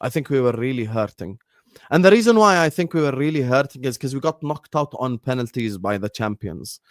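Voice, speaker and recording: A man's voice: mid-range voice, expressive, a little fast, dry recording. Combative Arabic-native man, dry close mic. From Jordan. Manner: combative, repeats phrases, fillers like "you get me". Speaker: football channel host. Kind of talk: live-stream football talk.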